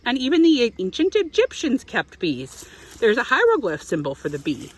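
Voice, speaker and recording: A woman's voice: a little high, wildly swooping pitch, normal speed, husky. A woman reading a picture book aloud to young children, expressively, with explanatory asides. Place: outdoors in an apiary.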